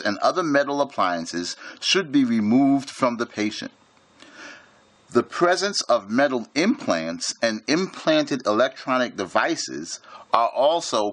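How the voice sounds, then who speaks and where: A man reading a scripted instructional lecture with formal, measured enunciation. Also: medium pitch, lively intonation, a bit bright, no room sound.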